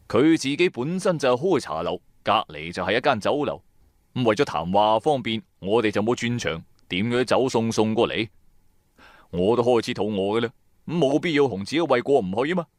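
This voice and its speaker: Animated Cantonese male story narrator. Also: mid-range voice, no room sound, clean studio sound. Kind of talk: dramatic scripted first-person story narration.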